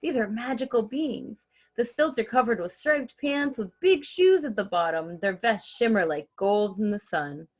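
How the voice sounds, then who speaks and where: A woman's voice: on the high side, animated, moderate pace, hardly any pauses, a little mellow. A woman reading a children's story aloud expressively and chatting casually as host.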